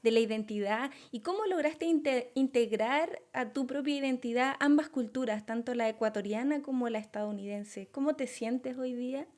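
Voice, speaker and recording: A woman's voice: fairly high pitch, normal speed, few pauses, darkish tone. A woman interviewing a guest, asking encouraging questions. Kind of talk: casual interview.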